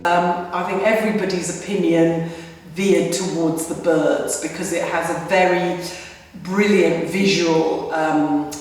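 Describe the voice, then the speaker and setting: A woman, a festival director, calmly explains a planning meeting to the camera. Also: lowish voice, unhurried. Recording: echoey hall, distant mic.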